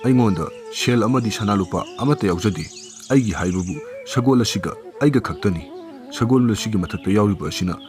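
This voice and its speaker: A man's voice: fairly low pitch, expressive, very fast, natural voice. A man voicing a humble but crafty village cobbler in a storybook tale, with a persuasive way of talking. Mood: pleading, sly. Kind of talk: storybook character acting. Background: music.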